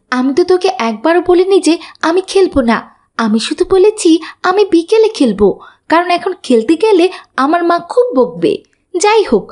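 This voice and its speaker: A woman's voice: extremely high pitch, a little mellow. A woman voicing a studious, dutiful little schoolgirl in a children's cartoon, earnest and pleading. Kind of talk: scripted cartoon dubbing.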